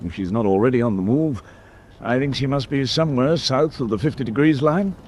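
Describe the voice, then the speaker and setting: An actor playing a thoughtful, shrewd senior British naval officer in a war radio drama, with a clipped, musing authority. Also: male voice, medium pitch, brisk, a little hiss or hum, lightly acted.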